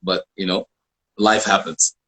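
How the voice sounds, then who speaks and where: A man with a fairly low voice hosts a live interview in a casual style. He talks hesitantly, often circling back to repeat a phrase. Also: fairly even intonation, measured pace, pushed and bright, husky, rough recording. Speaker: host of live art interview show.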